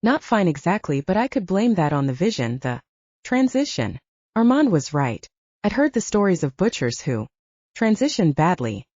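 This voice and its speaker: A woman's voice: lowish voice, big pitch swings, normal speed, a little mellow, dry recording, highs cut off. A woman reading first-person fiction as a scripted audiobook narration.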